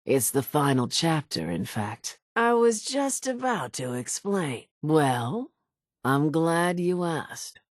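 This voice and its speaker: A woman's voice: deep voice, sing-song, slow, no echo, clean studio sound, exaggerated voice. An actress playing an older island woman in a game, a folksy, dramatic storyteller who mourns her family and wants vengeance. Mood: coy, reminiscing. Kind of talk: scripted game character dialogue, theatrical storytelling.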